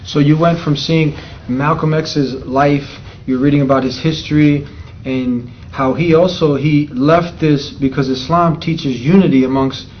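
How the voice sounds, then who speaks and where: A man's voice: fairly high pitch, fairly even intonation, unhurried, few pauses. A man hosting an on-location interview, talking conversationally with frequent restarts. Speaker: show host. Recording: slightly dull sound with faint noise.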